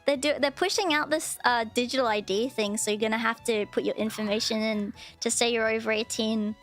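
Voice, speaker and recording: A woman's voice: a little high, steady tone, brisk, faint music behind. A young woman chatting casually as a livestream host, hesitant and often repeating her phrases. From Australia. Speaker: streamer, VTuber host.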